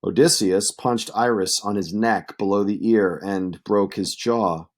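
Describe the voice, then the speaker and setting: A man's voice: on the low side, fairly even intonation, measured pace. A man narrating epic poetry as a read-aloud. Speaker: reader, narrator.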